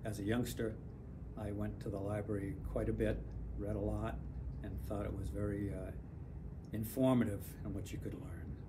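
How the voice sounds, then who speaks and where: Older man, retired pilot type, low and flat delivery, noticeable background hiss. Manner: measured, reflective, pauses with fillers. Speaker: retired airline captain. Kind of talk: community testimonial.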